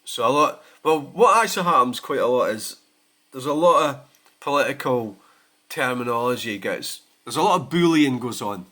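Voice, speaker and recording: A man's voice: medium pitch, expressive, moderate pace, bright, forceful tone. A Scottish man hosting his own channel, talking in a blunt, sweary and rambling way in a casual rant. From Scotland. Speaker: channel host.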